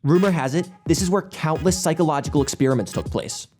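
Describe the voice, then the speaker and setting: A cocky urban explorer played by a man fronts his YouTube intro with showy hype. His voice is brash and sits fairly high for a man. Faint music plays underneath. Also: animated, fast, a little mellow, close to an everyday voice. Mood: hyped, cocky. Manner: hyped, showy. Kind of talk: YouTube video intro in an audio drama.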